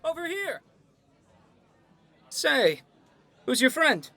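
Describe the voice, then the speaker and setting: A cocky, excitable male best friend in an audio drama, acted out by a man. The pitch is high and very animated, and he boasts playfully over a crowd's murmur. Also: very slow, vocal fry, no room sound, exaggerated voice. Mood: excited, smug.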